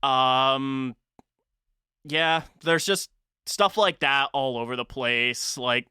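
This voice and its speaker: A podcast host with a bright, forward voice at a middling pitch, recorded close and dry. The talk is casual and unscripted, with thoughts worked out aloud as they come. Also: takes it slowly, clean tone, no room sound. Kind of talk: casual chat.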